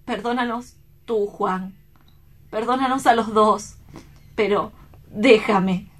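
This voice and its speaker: A woman's voice: mid-range voice, takes it slowly. An actress playing a tender, despairing young woman in a radio drama, with solemn, poetic delivery. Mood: anguished, resigned. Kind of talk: melodramatic radio play acting.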